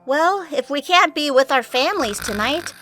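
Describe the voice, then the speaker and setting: Woman doing a super high bright earnest pony princess, bouncy pitch, soft music behind. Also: animated, normal speed. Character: young studious female pony princess. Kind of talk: fan cartoon dub.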